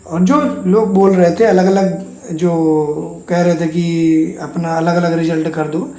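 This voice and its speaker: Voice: mid-range voice, fairly even intonation, slow pace, mellow. A speaker gives an informal update to exam candidates as a channel host, in a repetitive, reassuring way. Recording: echoey untreated room.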